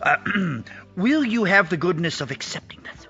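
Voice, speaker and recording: Elderly reformed miser, very high voice, swooping delivery, giddy, stammering, generous, audio play, music behind. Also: male voice, big pitch swings, normal speed, sharp tone, lo-fi sound, full character voice. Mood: humble, eager. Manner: exclaiming, chuckling, stammering, repeating himself. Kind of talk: audio drama acting.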